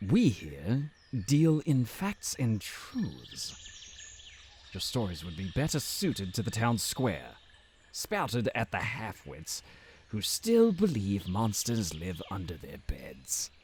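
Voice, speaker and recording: A haughty fantasy official, acted by a man, condescending and smug. He draws out formal phrases slowly, with wildly swooping intonation. Faint outdoor ambience sits behind the voice. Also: lowish voice, huge pitch swings, a little mellow, slight background noise, full character voice. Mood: sneering contempt. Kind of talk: fantasy audio roleplay acting.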